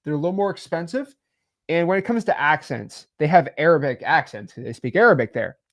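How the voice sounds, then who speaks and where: A man giving casual advice in a rambling, animated way with lots of fillers. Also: on the high side, brisk.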